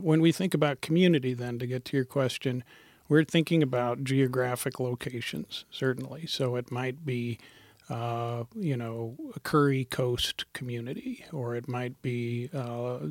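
Middle-aged guy, gravelly voice, lots of ums, dry close sound. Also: mid-range voice, dry recording. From Montana. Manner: thoughtful, hesitant, many fillers. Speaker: foundation program director. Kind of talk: interview answers.

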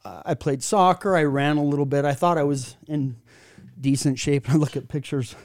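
A man's voice: on the high side, normal speed, dry recording, clean studio sound. A man hosting a casual chat show, talking with rambling enthusiasm and frequent restarts. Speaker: podcast host.

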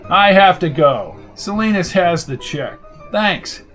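A man's voice: high voice, animated, takes it slowly, a bit bright, soft background music, full character voice. A man voicing a prickly, combative male attorney in an audiobook, speaking in a curt, indignant, formal way.

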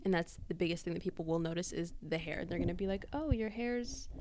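A woman's voice: fairly low pitch, fast, a little mellow, slight background noise. A young woman with an American accent, candidly sharing personal experience as a podcast guest.

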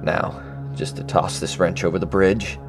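An actor playing a smug, scheming murderer after an inheritance in an audio drama, speaking theatrically. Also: male voice, low voice, fairly even intonation, normal speed, music in the background. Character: scheming murderous male heir, smug, feigning grief.

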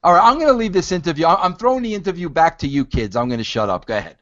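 A man's voice: on the high side, lively intonation, racing, a bit bright, highs cut off. A man in his fifties playing a network executive who teases and coaches two interviewers with a pushy, interrupting manner. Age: 50s.

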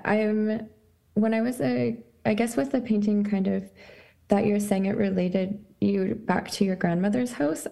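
A young woman from Canada answers interview questions in a relaxed, searching way, stopping often to find her words. Her voice is mellow and dark, with a gravelly fry running underneath. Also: mid-range voice. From Canada (Saskatchewan). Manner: thoughtful, halting, lots of likes and ums. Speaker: artist guest. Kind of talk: casual conversational interview.

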